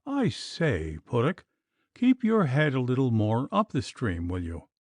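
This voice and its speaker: A man voicing a boastful, hot-tempered gentleman who shouts and curses in a fright. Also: mid-range voice, wildly swooping pitch, unhurried, dry recording. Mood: casual. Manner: blustering, shouting.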